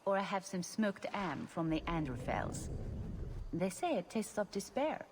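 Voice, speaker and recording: A woman's voice: mid-range voice, sing-song, unhurried, noisy background. An actress playing a polite serving woman, courteous and matter-of-fact, in a video game. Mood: deadpan courtesy.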